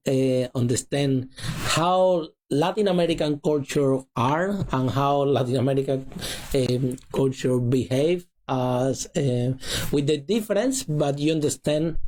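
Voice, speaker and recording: A man's voice: a little high, darkish tone. A man hosting an interview, affirming his guest and chuckling between phrases. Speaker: interview host. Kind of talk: interview conversation.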